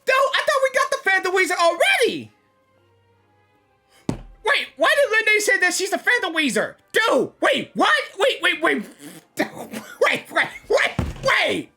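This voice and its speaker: A woman doing casual live gaming reactions, excitable, stammering and repeating words. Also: very high-pitched, unhurried, faint music behind.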